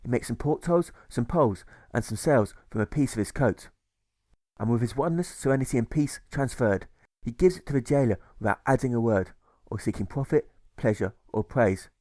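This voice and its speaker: A man reading a rhyming audiobook as scripted narration, with theatrical, measured emphasis. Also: mid-range voice, darkish tone, no room sound. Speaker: author reading his own audiobook.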